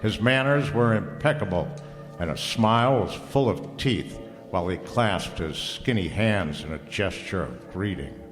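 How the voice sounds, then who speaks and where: An actor playing a wry, laconic private detective in an old-time radio noir drama, slangy and dry. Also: male voice, low voice, expressive, unhurried. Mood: narrating, wry. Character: wry, hardboiled male private detective narrator. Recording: reverberant hall, music underneath.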